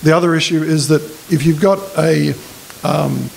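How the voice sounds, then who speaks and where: Gravelly Australian man at a lectern. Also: a little high, steady tone, normal speed, a little mellow. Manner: plain-spoken, hesitant restarts when answering questions. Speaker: political candidate. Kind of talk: candidate speech and Q&A at a public forum. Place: community hall with an audience. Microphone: stand mic at a lectern. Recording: faint hiss in a hall.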